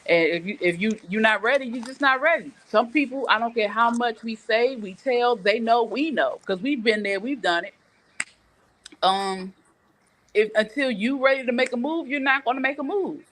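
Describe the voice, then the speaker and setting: A woman's voice: on the high side, normal speed, a bit bright, low-quality recording. A middle-aged woman hosting a casual live morning show, giving frank, emphatic advice and telling stories conversationally. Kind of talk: casual chat, reading viewer comments and telling personal stories.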